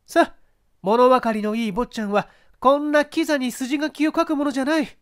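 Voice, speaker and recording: A man voicing a proud, mocking glamorous actress in a flippant, coquettish, feminine manner for a story reading. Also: a little fast. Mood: mocking, brisk. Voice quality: mezzo-soprano pitch, feminine. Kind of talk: dramatic audiobook character reading.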